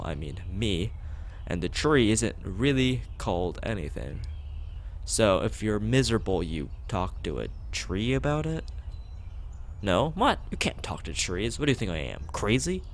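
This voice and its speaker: A man's voice: lowish voice, a little hiss or hum. A man reading a visual novel aloud as a streamer, acting out dialogue, dropping in casual asides and sometimes tripping over words. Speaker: let's play streamer.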